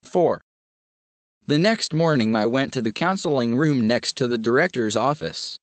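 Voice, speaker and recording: A man narrating a scripted horror story in a measured, even storyteller manner. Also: mid-range voice, no room sound.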